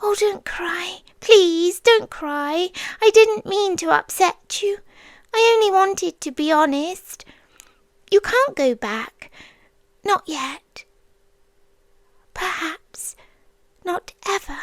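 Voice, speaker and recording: A British woman playing a possessive, childlike female sea demon in an ASMR roleplay, speaking in a soft, breathy, coaxing voice that often drops to a whisper. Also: very high voice, expressive, slow, long pauses, dry recording, full character voice. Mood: soothing, apologetic. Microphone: close microphone, dry.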